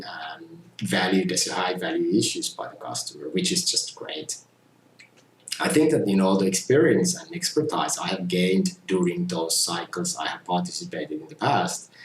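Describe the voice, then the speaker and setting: A middle-aged man from Finland, giving a measured, earnest testimonial about his community testing and often saying "you know". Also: low-pitched, slight background noise. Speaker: community tester. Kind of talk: casual testimonial talk. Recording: roomy echo with faint ambience.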